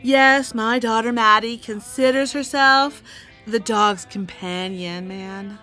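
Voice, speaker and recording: A woman's voice: a little high, takes it slowly, bright, forceful tone, soft background music. An actress playing a dreamy, easygoing hippie mother with a hesitant drawl in an audio drama. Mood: mellow, musing. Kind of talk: scripted audio drama acting.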